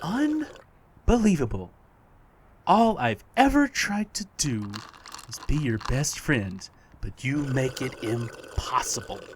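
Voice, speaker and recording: Man playing an exasperated, wildly animated put-upon friend. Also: medium pitch, slow pace, a little hiss or hum. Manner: indignant, dramatic. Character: exasperated, put-upon, wounded male would-be best friend. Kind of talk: audio drama acting.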